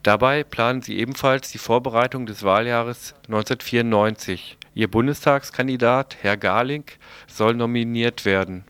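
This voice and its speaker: A man's voice: mid-range voice, moderate pace, a bit bright, smooth. A man reading a news report as a radio presenter, in a plain delivery with the occasional stumble. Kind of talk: read news report on a local left-wing radio show.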